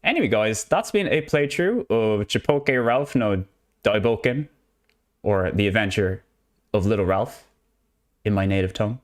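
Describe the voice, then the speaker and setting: A man's voice: on the low side, expressive, moderate pace. A young Irish man with an Irish accent, casually chatting through a live gameplay stream in an upbeat, rambling way. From Ireland. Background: game music.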